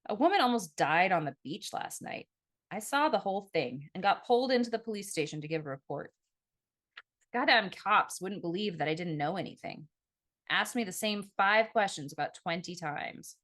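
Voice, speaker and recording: A woman's voice: mid-range voice, expressive, moderate pace, fairly bright tone. Woman acting a weary, dry young woman. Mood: weary irritation. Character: tired, grumpy, sarcastic young woman, night worker. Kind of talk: audiobook character dialogue.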